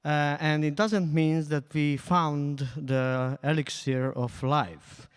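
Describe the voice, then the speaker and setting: Friendly, joking man with a clear voice. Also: fairly high pitch, fairly even intonation, slow. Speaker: panelist, magazine editor. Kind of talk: panel discussion remarks. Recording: reverberant hall.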